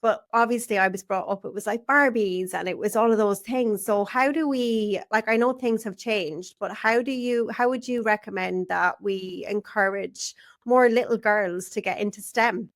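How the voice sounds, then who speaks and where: A woman's voice: medium pitch, normal speed. Bubbly enthusiastic woman podcast host. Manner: bubbly, enthusiastic, laughs often. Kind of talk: conversational podcast interview. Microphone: studio microphone.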